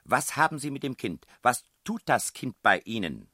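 A man's voice: mid-range voice, brisk, pushed and bright, dry recording, studio quality, voice pushed up. A man voicing a domineering, furious woman in charge of a children's home, barking clipped commands in an audiobook story. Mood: indignant, furious.

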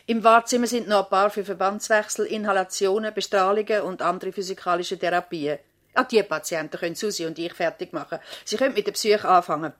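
An actress with a Swiss German accent playing an efficient, organised doctor's assistant, brisk and instructive, in a seventies radio play. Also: female voice, mid-range voice, a bit bright, lightly acted. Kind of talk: 1970s radio play acting.

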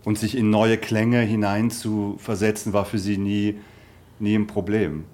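A man interviewing in a conversational way, putting tentative questions with pauses. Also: on the low side, level tone, a little mellow.

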